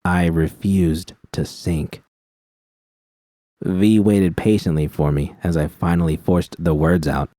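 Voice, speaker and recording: Low, mellow man reading fiction. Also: slow, no room sound. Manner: steady, plain reading with frank profanity. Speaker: audiobook reader. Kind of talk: first-person fiction narration.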